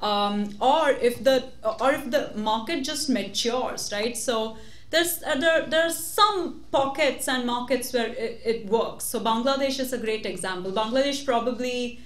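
A woman's voice: fairly high pitch, a little slow. A woman speaking as a panelist, explaining steadily and checking in with tag questions. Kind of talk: panel answer. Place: large meeting room with audience. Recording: roomy hall.

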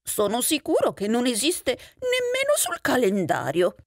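A woman's voice: fairly high pitch, huge pitch swings, measured pace, no echo, clean studio sound, heavily acted. A woman voicing a trusting, puzzled male mouse in a fairy tale, with a questioning, exclamatory way of talking. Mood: puzzled, suspicious.

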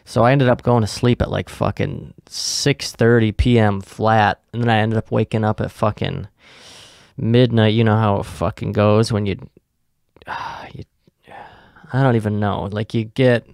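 Tired young male podcast co-host, slow gravelly delivery, crude and laid-back, close dry mic. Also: on the low side, steady tone, a little mellow, no room sound. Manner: profane, laid-back, trailing off with fillers. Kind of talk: casual rambling monologue.

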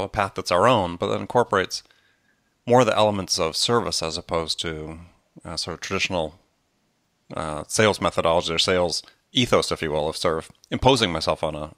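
Guy podcast host, deep voice, casual chat, dry close sound. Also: fairly bright tone, no echo.

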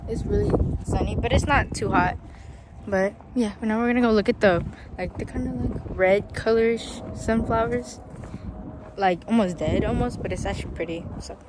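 A woman's voice: mid-range voice, normal speed. Young woman chatting, noisy outdoor recording. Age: young adult. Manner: informal, frequent "like". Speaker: vlogger. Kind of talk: casual chat while filming. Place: outdoors in an open sunflower field. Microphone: handheld phone, often at arm's length.

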